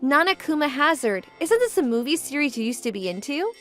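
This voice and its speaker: A woman's voice: sits high, very animated, brisk, fairly bright tone. A woman playing a composed, forward young businesswoman in a manga dub, speaking politely and matter-of-factly. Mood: playful, cheerful. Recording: background music under the voice.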